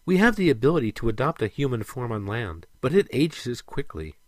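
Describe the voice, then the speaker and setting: A man voicing a gentle, earnest female mermaid in a storybook, with soft, stately phrasing. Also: medium pitch, big pitch swings, brisk, dry recording. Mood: regretful, explaining.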